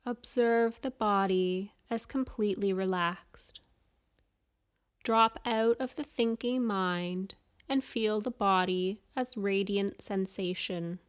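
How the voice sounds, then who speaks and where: Female meditation guide, unhurried and soothing, clear smooth tone, mid-range pitch, leaves space between instructions. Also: fairly even intonation. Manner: soothing, measured instructions with pauses. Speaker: yoga nidra guide.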